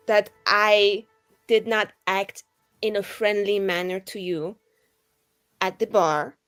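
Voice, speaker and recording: A woman playing a tabletop roleplaying game, speaking in a halting, rambling, improvised in-character style with casual asides. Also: mid-range voice, fairly bright tone, clear, resonant tone.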